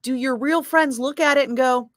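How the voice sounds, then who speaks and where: A middle-aged woman radio DJ chatting casually with viewers between breaks, profane and quick to laugh. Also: sits high, steady tone, fairly bright tone, no room sound, studio quality. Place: radio studio.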